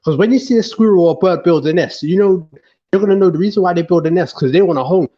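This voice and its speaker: A man from Montserrat speaks on an online panel debate, circling back and repeating himself as he explains his faith. His voice sits fairly high. The call audio is dull and lo-fi. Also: fast, mellow. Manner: repetitive, restarts often, preachy.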